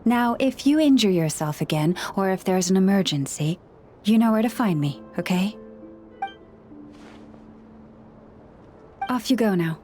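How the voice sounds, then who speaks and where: An actress playing a gentle, caring woman who looks after forest animals, speaking in a soothing, measured way in a video game. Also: mid-range voice, expressive, soft background music, natural voice. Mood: caring, gently chiding. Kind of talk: video game character dialogue.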